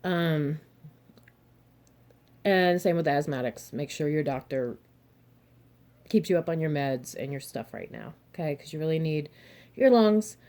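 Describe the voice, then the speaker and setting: A young adult woman, a little hoarse and throaty, chatting frankly to her viewers with advice, laughing often and cursing mildly. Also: low-pitched, expressive, measured pace, pauses often, warm tone. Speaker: vlogger, single mother and reseller. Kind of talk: casual advice monologue to camera.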